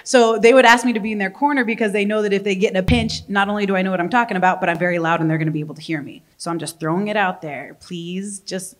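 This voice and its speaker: A woman's voice: mid-range voice, fast talker, few pauses. A young adult woman, a professional fighter, answering interview questions in a candid, talkative way. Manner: candid and talkative, laughs easily.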